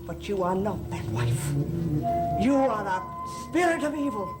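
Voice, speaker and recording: A woman's voice: medium pitch, wildly swooping pitch, a little slow, over loud music. An actress playing a solemn, formal, centuries-old priestess devoted to her gods in a gothic soap opera. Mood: grave accusation. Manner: grave, formal, portentous.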